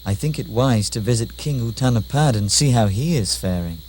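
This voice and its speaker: A man acts out a wise old-world sage for a puppet play. He speaks in a mid-pitched voice, calm and formal, like a teacher giving measured instruction. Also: slight background noise, natural voice. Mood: reassuring, instructing. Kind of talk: puppet show dialogue, measured formal delivery.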